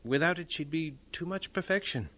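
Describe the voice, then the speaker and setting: A young English missionary in an audio drama, earnest and lovestruck. His bright, fairly high voice stammers and swings widely with fervour, over some background noise. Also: animated. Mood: smitten, earnest. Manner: halting, stammering, fervent. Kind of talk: dramatised radio-play acting.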